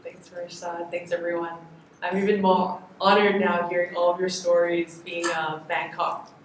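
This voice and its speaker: A young adult woman from Thailand, moderating a panel discussion in a slow, reflective, soft-spoken way, recorded from a distance in an echoey room. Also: fairly low pitch, little pitch movement, slight background noise.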